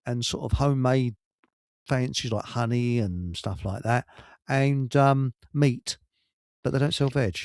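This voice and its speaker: A man's voice: mid-range voice, measured pace, gravelly, a little mellow, no echo, clean studio sound. A man with an English accent hosting a radio show in casual, rambling chat, telling stories with colloquial asides and digressions.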